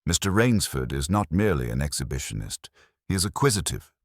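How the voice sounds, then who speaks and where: Imperious older male sleuth read by a man for detective audiobook, bottomless low voice, deliberate and formal, dry sound. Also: big pitch swings, no room sound, exaggerated voice. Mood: calm, calculating. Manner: formal, commanding, deliberate wording.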